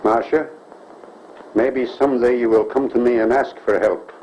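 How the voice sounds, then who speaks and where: A man's voice: mid-range voice, steady tone, normal speed, a little mellow, close to an everyday voice. An actor playing a jealous male admirer who makes veiled threats, in a 1930s film. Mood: brooding. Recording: muffled old film soundtrack with faint hiss.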